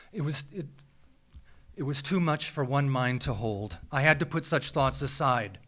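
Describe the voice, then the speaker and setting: An American man reads a scripted personal story live on stage, with a measured, theatrical delivery and deliberate dramatic pauses. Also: medium pitch, fairly bright tone. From small town in Minnesota, United States. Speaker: writer reading a personal essay. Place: small theatre, live event.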